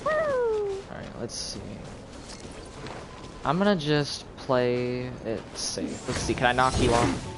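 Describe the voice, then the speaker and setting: A man doing casual live game commentary, thinking aloud in a low-key way. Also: expressive, takes it slowly, long pauses, heavy background noise. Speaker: game streamer. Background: video game music and effects.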